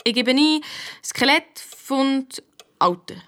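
A woman's voice: high voice, lively intonation, slow pace, fairly bright tone, no room sound, studio quality, exaggerated voice. A woman voicing a young girl detective with a Swiss accent, eager and blunt, telling her story colloquially in a children's radio play. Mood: curious.

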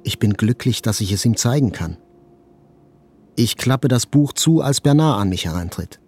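An actor playing a journalist who relives a fateful morning, narrating a literary audio drama plainly and with restraint. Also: male voice, mid-range voice, lively intonation, moderate pace, darkish tone, lightly acted. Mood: restrained.

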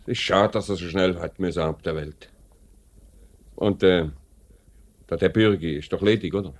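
Fair-minded middle-aged factory boss, very low voice, Swiss German, polite and deliberate, vintage radio play. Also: male voice. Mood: measured, firm. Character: measured, fair-minded male factory director. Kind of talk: 1950s Swiss radio drama acting.

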